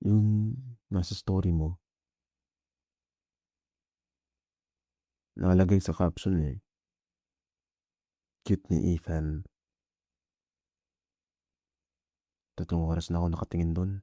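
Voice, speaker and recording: A man with a Filipino accent playing a jealous, clingy, soft-hearted boyfriend in an intimate ASMR roleplay, speaking softly and hesitantly in a mix of two languages. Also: low voice, little pitch movement, moderate pace, long pauses, no echo. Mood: sulky, jealous. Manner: soft, hesitant, Taglish code-switching.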